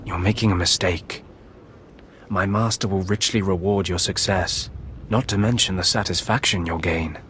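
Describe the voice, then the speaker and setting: Low-voiced scheming dark apprentice. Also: male voice, steady tone, slight background noise. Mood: persuasive. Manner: formal, measured, persuasive. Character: scheming Sith apprentice, deferential servant of shadowy master. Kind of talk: video game cutscene acting.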